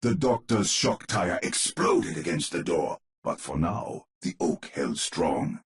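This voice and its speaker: An actor playing a grave storyteller, narrating a gothic horror tale in a theatrical, measured, ominous manner for a game. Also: male voice, deep voice, animated, vocal fry, heavily acted. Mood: ominous, dramatic. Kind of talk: dramatic scripted storybook narration.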